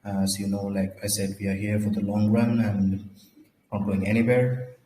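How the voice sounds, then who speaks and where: A man with a fairly low voice and a cold talks on a casual livestream, halting and repeating himself as he goes. His delivery stays flat and level. He is heard in an echoey room with a faint hiss of noise behind him. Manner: halting, repeats words and restarts often. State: has a cold, slightly hoarse. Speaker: crypto project founder hosting a livestream.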